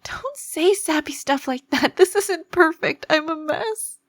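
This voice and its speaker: A woman playing an obsessive, possessive yandere girlfriend, menacing yet fragile and devoted, in a dramatic, halting ASMR roleplay style. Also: high voice, expressive, dry recording. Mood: tearful guilt.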